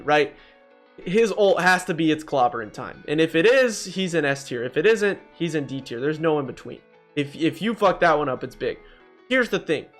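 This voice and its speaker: Higher-pitched guy, slangy gaming commentary over music. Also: fairly bright tone. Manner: slangy and blunt, addresses viewers as bro. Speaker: gaming video host. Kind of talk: casual opinionated ranking commentary.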